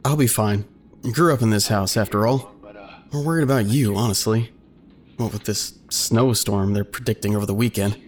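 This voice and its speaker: A man playing a grown son who narrates an audio drama in a sardonic, colloquial, deadpan way. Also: fairly low pitch, moderate pace, natural voice. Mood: casual, teasing. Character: wry adult son narrating his own hard-boiled story. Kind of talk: first-person audio drama narration with dialogue.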